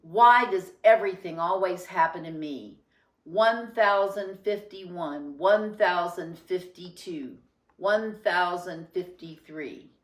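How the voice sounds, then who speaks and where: A woman's voice: lowish voice, slow pace, reverberant. A woman voicing an earnest, dramatic little schoolgirl in a children's storybook. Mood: self-pitying.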